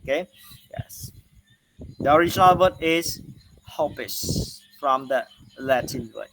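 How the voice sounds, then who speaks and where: Slow, halting Khmer-accented male lecturer. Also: mid-range voice, animated, noisy background. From Cambodia. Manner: slow, halting, many "uh" and "okay" fillers. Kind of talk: online lecture.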